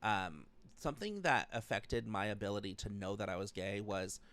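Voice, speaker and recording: A man's voice: lowish voice, lively intonation, normal speed, a bit bright. An American man in his thirties, chatting casually as he hosts an interview podcast. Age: 30s. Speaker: podcast host.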